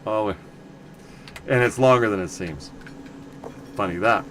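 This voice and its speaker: A man casually commenting on his game for viewers, rambling and correcting himself as he goes, with a loud voice. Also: lowish voice, normal speed, a little hiss or hum. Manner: rambling, self-correcting, chatty asides to viewers. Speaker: livestream game player.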